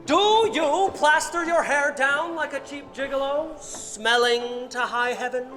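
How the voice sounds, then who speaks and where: Young announcer on a theater stage, salesy 1940s delivery, high voice. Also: male voice, unhurried. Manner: folksy, salesy. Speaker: radio announcer. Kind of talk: 1940s radio ad reading. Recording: hall reverb with music.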